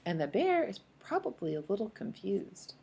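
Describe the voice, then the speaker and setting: A woman's voice: medium pitch, very animated, normal speed, gravelly, background noise. A woman teacher leading a children's read-aloud lesson, explaining in an encouraging way and asking guiding questions. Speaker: speech-language teacher.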